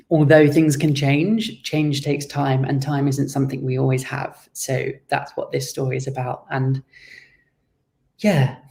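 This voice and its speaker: A man speaks with noticeable creak at a middling pitch. He is an author answering interview questions, explaining his work in a measured, conversational way. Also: darkish tone. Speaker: children's author and guest.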